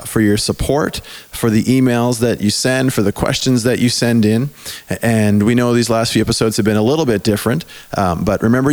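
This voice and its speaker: A man hosting a podcast in casual chat, his voice hoarse and mostly gone, talking loosely and restarting his sentences. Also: mid-range voice, darkish tone. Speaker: podcast host.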